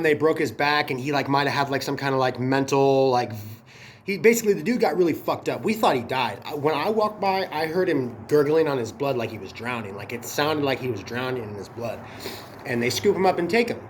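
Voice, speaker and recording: A man tells a story in a loose, slangy, conversational way. He has a cold, so his voice sounds a little stuffy and sniffly. His pitch sits in the middle, and there is a faint hiss in the background. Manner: laid-back slangy delivery. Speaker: host. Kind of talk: casual storytelling.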